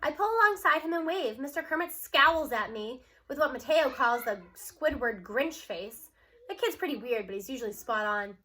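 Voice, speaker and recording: A woman narrates a story aloud in the first person. Her voice is high and bright, and her reading is expressive. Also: normal speed.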